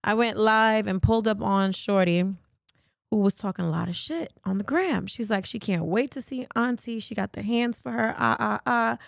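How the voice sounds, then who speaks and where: A woman's voice: mid-range voice, moderate pace, gravelly, no echo, clean studio sound. A woman hosting a podcast with casual, gossipy talk in a brash, sassy, slangy manner. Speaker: podcast host.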